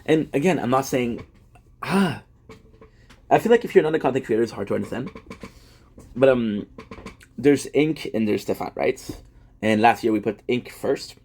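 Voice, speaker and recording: A man's voice: medium pitch, lively intonation, moderate pace, pauses often, a little mellow. A man in his thirties, a gaming content creator, talking in a rambling, self-deprecating monologue full of fillers and restarts. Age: 30s.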